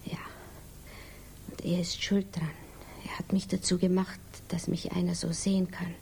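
An actress playing a naive, lovestruck and anxious woman in a 1950s German radio drama, confiding hesitantly and often slipping into breathy whispers. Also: on the low side, flat delivery, moderate pace, a little mellow, slight background noise. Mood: despairing, yearning.

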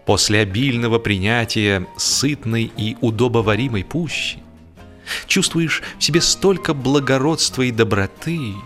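A man reading a humorous literary story as a scripted audiobook narrator, in a measured, mock-solemn and theatrical manner. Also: on the low side, a bit bright.

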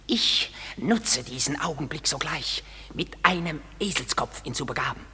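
A man playing a mischievous, excitable woodland sprite in a radio drama, declaiming rhymed verse theatrically with a husky edge. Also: on the high side, normal speed, long reverb tail, slight background noise. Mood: gleeful boasting. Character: mischievous gleeful male woodland sprite, servant to fairy king. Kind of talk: classic stage-verse radio drama acting.

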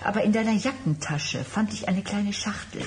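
An actress playing an anxious, hurt pregnant wife in a German radio drama. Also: female voice, mid-range voice, normal speed, darkish tone, a little hiss or hum, lightly acted. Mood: chatty, mildly reproachful.

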